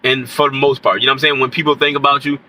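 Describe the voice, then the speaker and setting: A man's voice: medium pitch, flat delivery, rapid-fire, bright, forceful tone. A man, sick and congested with a nasal voice, rambling casually to camera as an independent rapper. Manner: rambling, keeps saying "you know what I'm saying". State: sick with stuffy nose and scratchy throat. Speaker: independent rapper commenting on hip-hop. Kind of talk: casual unscripted commentary to camera.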